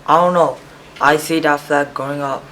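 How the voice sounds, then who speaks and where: A man's voice: fairly high pitch, moderate pace. A young man playing a student who gives a hesitant class presentation in broken phrasing, in an amateur student drama. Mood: unsure. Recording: distant mic with steady background noise.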